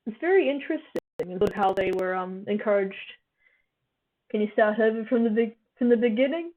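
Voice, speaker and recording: A woman's voice: medium pitch, fairly quick. Tired young woman from New Zealand. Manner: chatty, laughs often, many fillers, answers chat as she goes. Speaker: livestream host. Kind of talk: casual chat with a live chat, summarizing a reading. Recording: phone-like thin stream audio.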